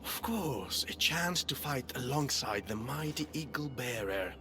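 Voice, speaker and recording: An actor playing an oily, falsely humble traitor in a game cutscene, speaking formally and smoothly, slipping into near-whispers. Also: male voice, medium pitch, expressive, lightly acted. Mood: smooth, scheming. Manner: smooth, ingratiating, formal, deliberate. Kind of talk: video game cutscene acting. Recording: loud music behind.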